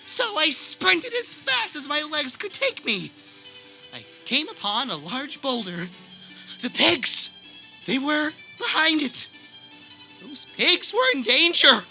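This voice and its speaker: A woman acts out a haunted, melodramatic man in a comedic radio play. The delivery is theatrical and drawn out, with a piercingly bright, high voice that swings wildly. Faint music sits behind it. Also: creaky, full character voice, rough recording. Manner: overwrought, drawn-out theatrical storytelling. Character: Frank, melodramatic man haunted by monster childhood trauma.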